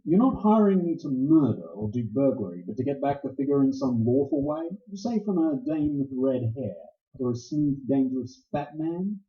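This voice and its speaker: A man's voice: medium pitch, expressive, moderate pace, hardly any pauses, lightly acted. A man playing a wry, terse, hard-boiled private detective in an old-style radio play. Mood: wry, probing. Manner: casual, terse, sardonic. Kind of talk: 1940s detective radio play acting with first-person narration. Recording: dull, roomy stage broadcast sound.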